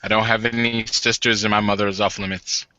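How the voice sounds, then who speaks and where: A man's voice: on the low side, level tone, a little fast, pushed and bright, no room sound, dull audio. A man casually roleplaying as a player in a tabletop game session.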